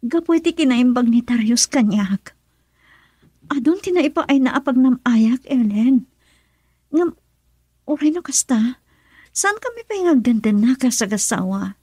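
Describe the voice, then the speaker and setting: A woman's voice: high-pitched, lively intonation, measured pace, pauses often, dark tone, slightly rough, no room sound, clean studio sound, full character voice. An actress playing an emotional, defensive young woman in an Ilocano radio drama, with an Ilocano accent. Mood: defensive, pleading.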